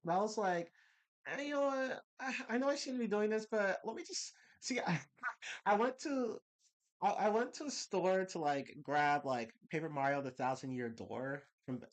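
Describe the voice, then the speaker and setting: A man's voice: sits high, animated. A young man chatting casually on a live stream, rambling with restarts, slightly congested from a cold. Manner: rambling, restarts and laughs, quick bursts.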